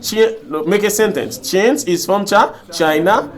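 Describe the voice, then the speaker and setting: A man's voice: sits high, a little slow. A man from Haiti with a Haitian Creole accent, a native Creole speaker, teaching a language class and repeating phrases and questions to prompt the students. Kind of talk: interactive classroom lesson.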